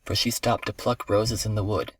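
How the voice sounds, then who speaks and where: A man's voice: lowish voice, fairly even intonation, moderate pace, dry recording. A man reading a scripted fairy tale for children as a storyteller.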